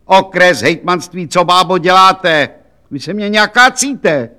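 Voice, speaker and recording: A stiff, officious police official, voiced by a man, talking loud and clipped. Raised, somewhat high voice with bureaucratic impatience. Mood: barking.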